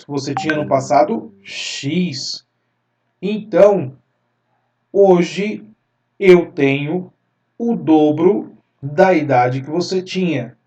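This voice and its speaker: Math teacher guy, slow patient explaining, kinda far from the mic. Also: a little high, darkish tone. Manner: slow, repetitive explaining, drawing-pointing asides. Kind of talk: step-by-step math lesson.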